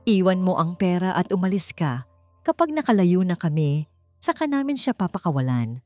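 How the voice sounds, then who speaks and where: A woman's voice: lowish voice, big pitch swings, moderate pace, a little mellow, no room sound. A menacing male schemer in a storybook drama, read by a woman, taunting and bossy. Faint music plays behind the lines. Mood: threatening.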